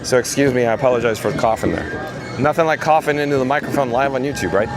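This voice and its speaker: A man with a hoarse, raspy voice live-streaming from outdoors at a theme park, reading viewer comments aloud in an upbeat, chatty way. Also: medium pitch, fast, rough recording. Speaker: vlogger. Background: music.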